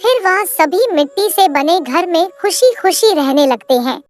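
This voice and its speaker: A woman's voice: extremely high pitch, lively intonation, measured pace. A woman telling a scripted moral story for children as narrator. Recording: soft music behind the voice.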